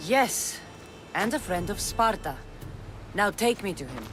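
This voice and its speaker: An actress playing a determined Spartan warrior and commander in a dramatic video game, firm and resolute. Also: female voice, medium pitch, very animated, measured pace, in a large hall, over music. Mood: stern.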